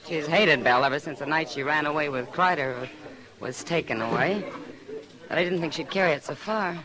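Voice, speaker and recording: A woman's voice: low-pitched, a little fast, natural voice, rough recording. An American woman playing a knowing, familiar frontier saloon woman in a 1950s radio western. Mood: confiding. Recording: old radio, crowd chatter behind.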